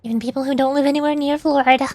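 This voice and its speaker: A woman's voice: sits high, fairly even intonation, very fast, a little mellow, no echo, faint music behind. A woman streaming as a VTuber, chatting casually in a playful, rambling way during a game livestream.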